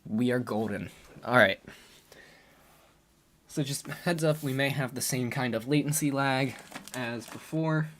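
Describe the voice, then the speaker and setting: A man chats casually to his viewers during a game live stream, his voice a little hoarse. He talks at an unhurried pace in a middle-pitched voice, filling pauses with ums as he thinks out loud. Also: slight background noise. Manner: relaxed, thinks aloud with frequent fillers. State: slightly hoarse.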